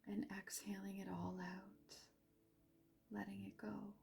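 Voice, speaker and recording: Female meditation guide: very slow, breathy and soft, some creak in the voice, over music. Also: mid-range voice, little pitch movement. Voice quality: soft, breathy, half-whispered. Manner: gentle, unhurried, with long pauses. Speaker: meditation guide, yoga teacher.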